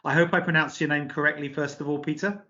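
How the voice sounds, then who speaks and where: A man's voice: fairly high pitch, steady tone, fast, bright, forceful tone, lo-fi sound. A man hosting a business interview, asking questions in a polite, formal way. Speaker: interview host, company director.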